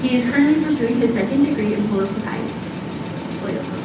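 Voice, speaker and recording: Woman, moderator, higher voice, quick formal introduction, very echoey distant recording with clear noise. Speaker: event moderator. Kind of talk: reading a speaker introduction.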